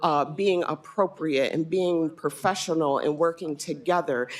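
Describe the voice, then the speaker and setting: A woman's voice: low voice, a little slow. A woman, a school board candidate and parent, making a firm, composed statement during public comment at a board meeting.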